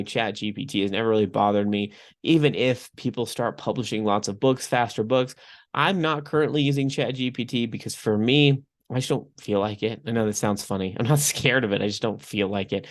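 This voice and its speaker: A young man hosting a podcast, talking casually and rambling a bit, his voice a little off from a recent throat infection. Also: fairly low pitch. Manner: chatty, rambling with fillers. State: recovering from strep throat. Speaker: podcast host.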